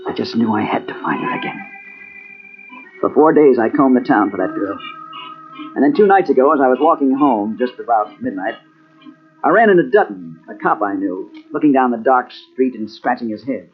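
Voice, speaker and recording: A man's voice: mid-range voice, expressive, moderate pace, slightly rough, soft background music. An actor playing an obsessed male investigator who narrates his own story in a dramatic, hardboiled old-time radio mystery style. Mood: brooding narration. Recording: dull, muffled old radio tape.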